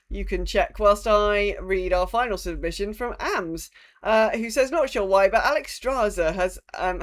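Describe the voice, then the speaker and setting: A woman co-hosting a podcast, chatting casually about a video game in a wry, rambling way that can turn sweary. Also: mid-range voice. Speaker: podcast co-host.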